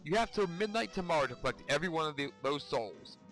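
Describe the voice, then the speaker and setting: A man's voice: a little high, lively intonation, fast, a bit bright, soft background music, pitched above the performer's own voice. A man voicing a gleefully menacing devil who owns a casino, theatrical and taunting, as in a storybook read-aloud. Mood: commanding.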